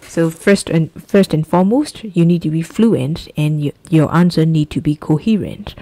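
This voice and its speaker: A Burmese-accented woman in her thirties teaches an English exam lesson in a lowish, warm voice. She says key phrases slowly and repeats them so the class can copy them. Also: low-quality recording. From Myanmar.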